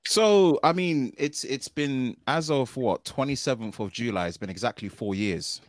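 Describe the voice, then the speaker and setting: A man from the United Kingdom, a tech video creator guesting on a podcast, talking in an animated, slangy way and often ending phrases with "man.". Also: medium pitch, moderate pace, dry recording, clean studio sound. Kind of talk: casual podcast conversation.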